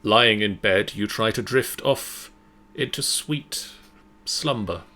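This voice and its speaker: A man serving as game master, narrating a story in a measured, dramatic way and then chatting casually. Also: lowish voice, sharp tone.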